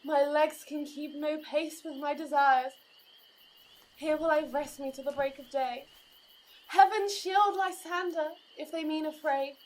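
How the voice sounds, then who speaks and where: A woman's voice: high-pitched, steady tone, unhurried, rough recording. A woman playing an exhausted, woeful young lady in love, speaking plaintive verse in a Shakespearean audio drama.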